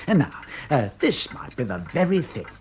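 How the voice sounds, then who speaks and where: Oily, high-pitched cynical dealer. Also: male voice, very animated, moderate pace, slight background noise. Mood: mocking, cynical. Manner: wheedling, chuckling, condescending. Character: oily, cynical male dealer, mean and chuckling. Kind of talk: radio drama acting.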